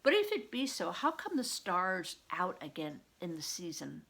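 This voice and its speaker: A kindly old-world monk, as a woman reader plays him, patient and instructive. The storybook voice is gravelly and measured, with archaic turns of phrase. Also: lowish voice, huge pitch swings, a bit bright, full character voice, rough recording. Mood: thoughtful.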